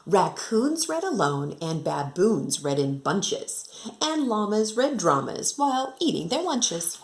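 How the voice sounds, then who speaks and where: A woman's voice: mid-range voice, very animated, slow pace, slight background noise. An American woman working as an elementary teacher, reading a picture book to children expressively and rhythmically, with casual explanatory asides. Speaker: second grade teacher reading aloud to pupils.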